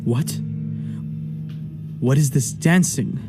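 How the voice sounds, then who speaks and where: Music plays behind a man playing a stern magistrate in a radio play. His delivery is measured and slow, at a middling pitch. He speaks formally and with command, like one used to being obeyed. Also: natural voice. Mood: incredulous, sharp. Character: stern, imperious male judge presiding over an inquiry.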